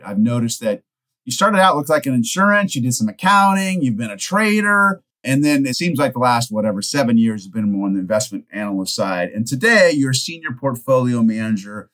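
A man's voice: medium pitch, fairly bright tone. Friendly American man hosting. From United States. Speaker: host. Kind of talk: video call interview. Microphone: video call.

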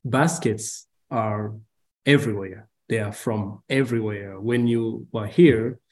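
A young man from Rwanda with a Rwandan accent, speaking as a podcast guest over a video call and pausing often with fillers. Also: fairly low pitch, darkish tone. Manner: hesitant, halting with many fillers.

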